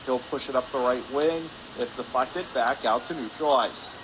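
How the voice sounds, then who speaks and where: A man doing live radio play-by-play of a hockey game in brisk, clipped calls. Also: medium pitch. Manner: brisk clipped present-tense calls, rising on shots. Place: indoor ice rink. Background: loud arena ambience noise.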